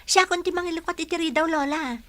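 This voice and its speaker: A woman's voice: very high voice, steady tone, fast. A woman playing a cheerful, chatty young student granddaughter in a radio comedy-drama, with music underneath.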